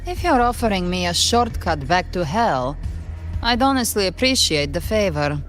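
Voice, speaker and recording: A woman's voice: lowish voice, sing-song, moderate pace, soft background music. An actress playing a world-weary outcast warrior woman in a video game, terse, wry and guarded. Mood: defiant. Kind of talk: dramatic video game voice acting.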